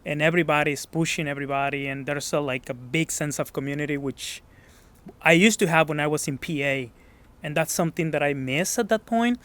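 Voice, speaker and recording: Modest Mexican-accented man, slightly high voice. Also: a bit bright. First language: Spanish. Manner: searching for words, modest, restarts phrases. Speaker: gym member being interviewed. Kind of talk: casual interview.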